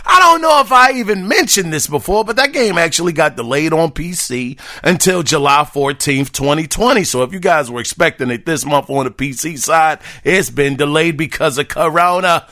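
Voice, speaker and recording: Male gaming podcast host, hoarse and raspy, chatty and lively, lots of pitch movement. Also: brisk, hardly any pauses. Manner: loose, chatty, addresses listeners as brothers and y'all. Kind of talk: casual gaming news podcast.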